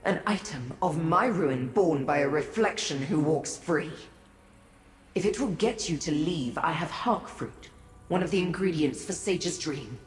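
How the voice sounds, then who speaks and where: A proud, bitter demon princess from a fantasy game, low in pitch and very measured. Her diction is formal and imperious, and the words ring out in a long reverb. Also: expressive. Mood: resentful. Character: proud, bitter female Daedric prince awaiting imprisonment. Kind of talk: fantasy video game voice acting. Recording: roomy echo with long reverb, music behind.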